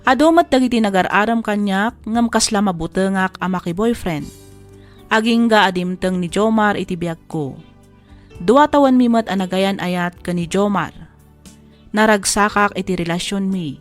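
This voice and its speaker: Female drama narrator, medium pitch, scripted letter reading, faint music behind. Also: normal speed.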